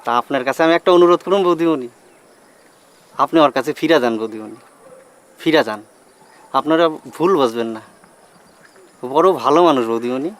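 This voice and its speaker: An actor playing a humble, earnest friend with an eastern Bengali dialect, deferential and pleading in dramatic dialogue. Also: male voice, a little high, very fast, hardly any pauses, clean tone, close to an everyday voice. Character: humble, earnest working-class male friend, pleading respectfully.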